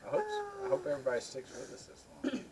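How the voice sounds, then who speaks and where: A man's voice: medium pitch, wildly swooping pitch, quick pace, darkish tone, slight background noise. A man chatting casually with joking banter as co-host of an amateur video. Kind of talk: casual chat.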